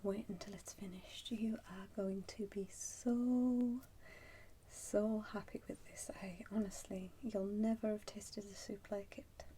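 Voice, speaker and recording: Slow, whispering woman in ASMR roleplay. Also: mid-range voice, fairly even intonation, slight background noise. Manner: soft, breathy whispering; slow, pausing, unhurried. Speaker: ASMR performer playing a soup shop keeper. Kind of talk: whispered ASMR roleplay, addressing the listener as a customer.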